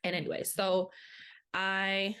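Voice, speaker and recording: A woman in her thirties hosting a podcast, telling a personal story in a casual, chatty way with lively quoted asides. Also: mid-range voice, takes it slowly, clean tone. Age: 30s. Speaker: podcast host. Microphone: podcast microphone.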